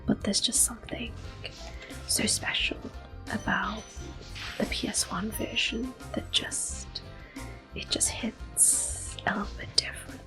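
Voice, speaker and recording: A woman giving soft-spoken ASMR gameplay commentary as host, often slipping into a breathy whisper, in a gentle, unhurried way. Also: mid-range voice, fairly even intonation, rough recording. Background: soft video game music.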